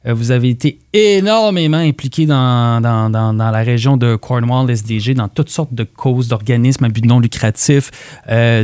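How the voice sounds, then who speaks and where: A man's voice: mid-range voice, darkish tone, no echo. A man with a French Canadian accent hosting a casual studio interview, feeling his way through his phrases. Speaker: radio interview host. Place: radio studio.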